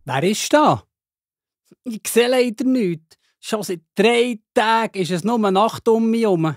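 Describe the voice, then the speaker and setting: A woman's voice: fairly high pitch, expressive, unhurried, dry recording, soft background music. A woman voicing Saulus, a stern young man, in a children's audio drama, speaking theatrically with a Swiss German accent. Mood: weary. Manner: exclaiming, theatrical.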